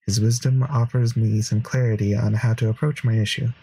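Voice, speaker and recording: A man's voice: mid-range voice, flat delivery, a little fast, warm tone, breathy edge, poor audio. A man voicing an earnest, introspective wandering hero in a visual novel, musing over dreams. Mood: pensive. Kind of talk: visual novel narration and dialogue.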